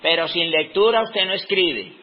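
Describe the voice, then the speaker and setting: A Colombian man in his fifties, giving an unscripted talk on teaching with slow, reflective pauses in the middle of his sentences. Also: high voice. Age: 50s. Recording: dull lo-fi recording.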